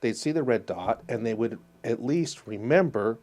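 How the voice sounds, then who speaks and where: A man's voice: medium pitch, animated, moderate pace, darkish tone. A man co-hosting a podcast, telling a rambling story with hesitations. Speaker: podcast co-host. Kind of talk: casual chat.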